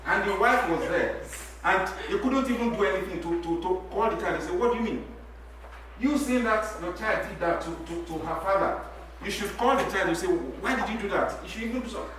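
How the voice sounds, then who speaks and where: A middle-aged Nigerian man whose first language is Yoruba, speaking on a church talk-show panel. He talks in an animated way, repeats his words and laughs while he makes his points. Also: high voice, fairly quick, slight background noise. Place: church hall. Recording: very echoey hall, mic from a distance.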